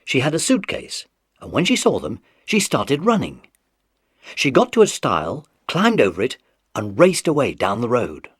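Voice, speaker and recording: A man's voice: mid-range voice, wildly swooping pitch, normal speed, slightly rough, no echo, clean studio sound. A man narrating a children's adventure story from a script, in a dramatic storytelling style.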